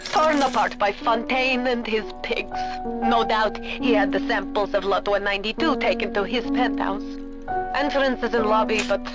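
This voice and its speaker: A woman voicing a stern, remorseful middle-aged German scientist in a video game, with a German accent and clipped, slightly broken English, over loud game music. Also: fairly high pitch, moderate pace, close to an everyday voice, poor audio. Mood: bitter.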